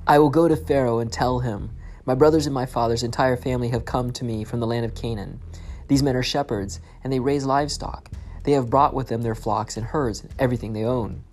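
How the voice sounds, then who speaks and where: Man reading a measured, authoritative governor. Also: mid-range voice, steady tone, a little mellow, a little hiss or hum, lightly acted. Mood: instructive. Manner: measured and instructive. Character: authoritative governor, a powerful brother.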